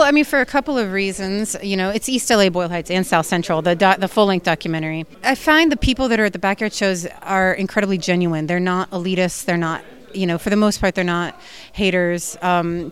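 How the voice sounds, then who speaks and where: A woman's voice: medium pitch, brisk, smooth. A woman film director answering interview questions in an earnest, enthusiastic way, with frequent fillers.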